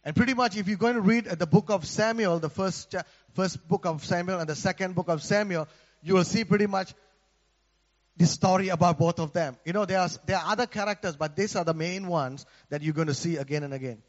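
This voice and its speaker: A man's voice: high-pitched, fairly quick, long reverb tail, muffled recording. A man from Malaysia with a Malaysian accent, preaching a sermon in a conversational way, often asking the congregation for an amen. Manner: conversational, repeats words, asks the congregation for amen.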